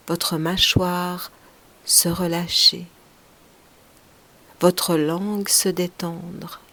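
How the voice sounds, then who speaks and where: A woman leading a guided relaxation meditation as a yoga teacher, speaking softly and soothingly in short, unhurried phrases, often breathy as they trail off. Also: fairly low pitch.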